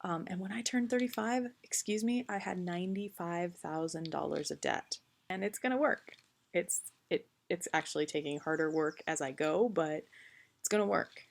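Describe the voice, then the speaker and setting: A woman in her late thirties speaks in a casual, reflective vlog, pausing often with ums. Her voice sits fairly low and carries a noticeable gravelly fry. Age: 30s. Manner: earnest and reflective, frequent ums. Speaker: vlogger. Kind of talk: casual talk to camera.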